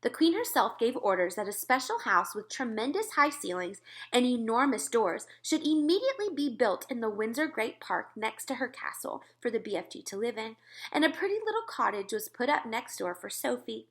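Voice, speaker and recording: A woman reading a children's book aloud as scripted narration, expressive and clearly phrased. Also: fairly high pitch, fairly quick. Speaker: storybook reader.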